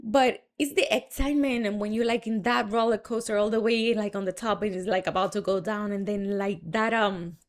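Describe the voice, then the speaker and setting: A woman's voice: medium pitch, fairly even intonation, a little fast, hardly any pauses. A young woman with a Dominican Spanish accent tells her story in a frank, chatty monologue, full of fillers and restarts. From Dominican Republic. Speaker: marketing entrepreneur.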